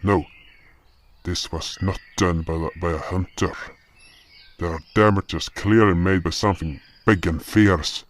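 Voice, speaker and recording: Gruff older male fantasy adventurer, acted by a man: wary, slow-spoken, over noisy outdoor ambience with insects. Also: sits low, fairly even intonation. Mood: grave, wary. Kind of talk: fantasy audio drama acting.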